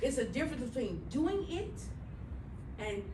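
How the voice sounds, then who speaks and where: A woman's voice: sits high, big pitch swings, unhurried, background noise. A woman teaching a middle school class, emphatic and checking in with students often. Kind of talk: classroom instruction. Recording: echoey classroom, mic far off.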